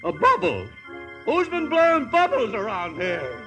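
A man playing a genial, folksy, excitable character in a 1950s radio play, with a husky voice. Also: sits high, a little slow, music in the background, heavily acted, rough recording. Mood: excited, curious.

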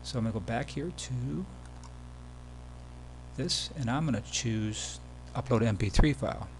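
Low-key man narrating, faint hum behind. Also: unhurried, poor audio. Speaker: software demo presenter. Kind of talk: casual screencast tutorial narration. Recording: background hum and noise.

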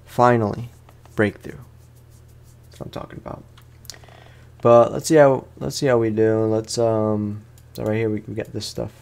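A man's voice: lowish voice, flat delivery, moderate pace, vocal fry, pauses often, a little mellow. A man doing casual let's play commentary, talking in an informal, slangy way and often repeating his phrases. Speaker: gaming streamer.

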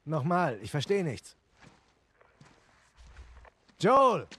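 An actor playing a wary, resentful younger brother, a rugged adult survivor in a serious video game drama, blunt and defensive. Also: male voice, a little high, big pitch swings, very slow, a bit bright, breathy edge, exaggerated voice. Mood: urgent.

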